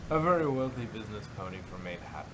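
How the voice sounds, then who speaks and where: A man's voice: sing-song, very fast. A man voicing a genteel, polite female unicorn dressmaker in a fan fiction reading. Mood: explaining, polite. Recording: very noisy background, rumbling ambience.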